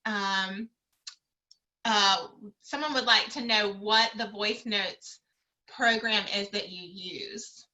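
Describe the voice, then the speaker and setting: A woman's voice: medium pitch, takes it slowly, bright, forceful tone, rough recording. A woman career coach moderating a video call panel, encouraging and full of fillers.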